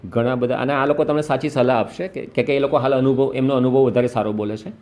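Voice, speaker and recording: A man's voice: mid-range voice, steady tone, fast talker, darkish tone. A man on a phone call patiently giving a caller step-by-step advice. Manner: patient, instructive, explains step by step. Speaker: host advising a caller.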